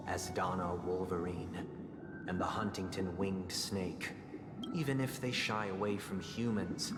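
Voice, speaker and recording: Solemn game character: low-voiced, formal, theatrical orator in a big echoing space, loud music underneath. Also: male voice. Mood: calm, ominous. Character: solemn, composed man telling a parable and posing a dilemma. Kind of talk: dramatic game dialogue monologue. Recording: echoey long reverb under loud music.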